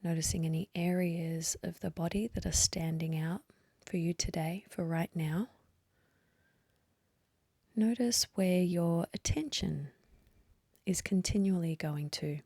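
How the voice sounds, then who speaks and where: A woman leading a guided meditation in a soft, breathy voice, gently and unhurriedly, with long pauses. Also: lowish voice, dry recording. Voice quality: soft, breathy, partly whispery. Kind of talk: guided meditation, slow calm instruction.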